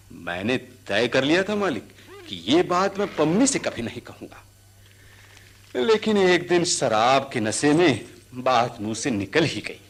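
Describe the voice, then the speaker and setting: A man's voice: on the high side, heavily acted. An actor playing an earnest, servile male elephant catcher who tells his tales vividly in a radio drama. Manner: servile address, vivid excited recounting. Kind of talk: Hindi radio drama acting.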